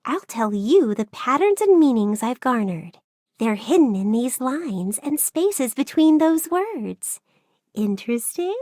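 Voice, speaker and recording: High, lilting playful tease. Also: female voice, big pitch swings, slow, dark tone, dry recording, studio quality, full character voice. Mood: playful coaxing. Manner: coaxing, teasing. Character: playful, curious, teasing young woman. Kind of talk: game character voice acting.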